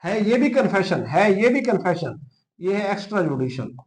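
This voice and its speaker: A man's voice: high-pitched, very animated, moderate pace, darkish tone. A man with a Pakistani accent lecturing as a teacher, repeating key points emphatically and mixing in English terms. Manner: repetitive, emphatic, checks understanding and spells out key terms. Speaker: law lecturer for police exam candidates. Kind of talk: teaching a lesson, Urdu mixed with English legal terms.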